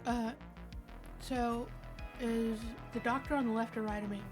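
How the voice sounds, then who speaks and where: A woman's voice: a little high, steady tone, a little slow. A woman playing a tabletop game, talking in an informal, chatty way. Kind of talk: casual game chat. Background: faint music.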